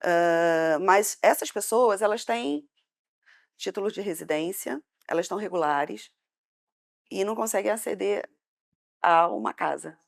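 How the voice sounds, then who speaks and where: A woman's voice: medium pitch, unhurried, vocal fry. A woman with a Brazilian Portuguese accent, speaking as a debate panelist in an impassioned, hesitant way.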